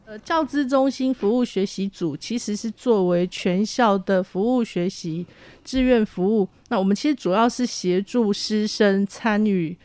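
A woman's voice: mid-range voice, normal speed, a little mellow, dry recording. A woman with a Taiwanese Mandarin accent explaining things off the cuff in an interview, hesitant and wordy, as university staff.

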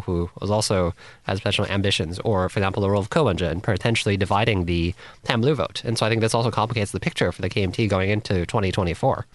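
A man's voice: fairly low pitch, dry recording. A man giving political analysis on a news panel, hedging often with "I think" as he lays out his points.

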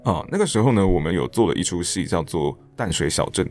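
A man's voice: low-pitched, big pitch swings, fast, a little mellow. A man in his thirties with a Taiwanese Mandarin accent, chatting reflectively and self-deprecatingly as an interview guest. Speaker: interview guest, stage director and actor. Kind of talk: casual interview chat, telling personal stories.

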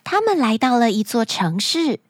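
A woman hosting a children's story show, speaking to young listeners in an animated, expressive storytelling manner. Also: fairly high pitch, normal speed, dry recording, studio quality. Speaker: children's story podcast host.

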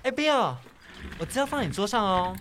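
A woman's voice: medium pitch, very animated, normal speed, close to an everyday voice. A woman playing a casual, businesslike office colleague in an audio drama. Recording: clear background noise, clicking.

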